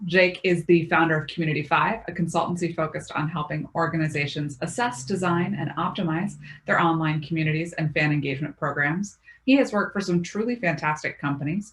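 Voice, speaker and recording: A woman's voice: fairly low pitch, fairly bright tone. A woman moderating an online roundtable, introducing panelists with friendly, self-deprecating asides.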